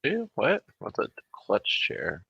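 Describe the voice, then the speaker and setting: A man's voice: mid-range voice, huge pitch swings, slow, creaky, sharp tone, breathy edge, no room sound, dull audio. A man co-hosting a livestream in casual banter, talking bluntly with slang and swearing. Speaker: livestream co-host.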